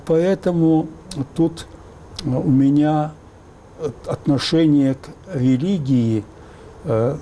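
An older man from Baku, raised speaking both Azerbaijani and Russian, thoughtfully recalling the past in an interview with many pauses and fillers. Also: on the high side, warm tone, slight background noise. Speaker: interview guest. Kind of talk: reflective interview talk.

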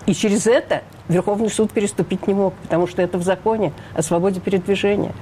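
Older woman guest, mellow low-key tone, emphatic and fed up, a little background noise. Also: steady tone, quick pace. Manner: emphatic, indignant, rhetorical questions. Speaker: interview guest, refugee rights advocate. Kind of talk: radio interview.

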